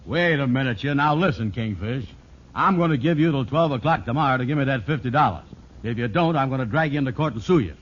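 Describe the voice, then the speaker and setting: An actor playing a gullible, blustering dupe in a 1940s radio sitcom, with a gruff, folksy, ungrammatical delivery. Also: male voice, medium pitch, lively intonation, fast. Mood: indignant. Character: gullible, blustering male dupe wanting his money. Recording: muffled, noisy old radio recording.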